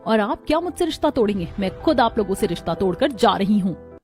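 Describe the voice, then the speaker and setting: A woman's voice: mid-range voice, big pitch swings, brisk, a little mellow, faint music behind, lightly acted. A woman playing a hot-tempered, defiant young daughter in a cartoon family melodrama. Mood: angry, defiant. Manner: confrontational, indignant.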